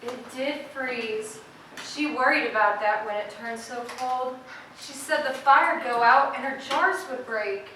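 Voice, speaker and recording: Dutiful sheriff's wife for a stage play, female actor: gentle, deferential, unhurried, miked from afar with clear background noise. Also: sits high, heavy reverb, far from the mic, low-quality recording. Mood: concerned. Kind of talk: stage drama acting. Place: indoor theater stage, distant microphone.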